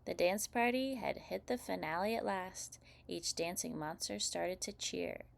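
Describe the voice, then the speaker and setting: A woman reading expressively from a script for young children in a clear, teacherly manner. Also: on the low side, measured pace. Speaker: host reading children's picture books aloud.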